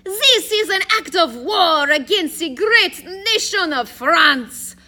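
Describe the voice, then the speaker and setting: A woman's voice: extremely high pitch, animated, slow pace, pushed and bright, pitched above the performer's own voice, heavily acted. A woman voicing a cheeky, pedantic boy who talks in a theatrical, precise and indignant way in an audiobook story. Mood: outraged. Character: cheeky, pedantic boy putting on outraged French airs.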